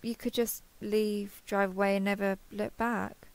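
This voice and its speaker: A woman's voice: mid-range voice, flat delivery, unhurried, vocal fry. A woman playing a kindhearted, awkward young woman who gets easily flustered, speaking hesitantly and earnestly in an intimate roleplay audio drama.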